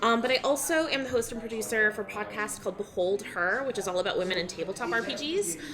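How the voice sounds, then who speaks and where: Lively high-voiced woman amid crowd noise. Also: fast. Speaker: guest player, podcast host. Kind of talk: casual chat at a live game panel. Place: busy gaming convention, live event. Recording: live convention hall with crowd noise.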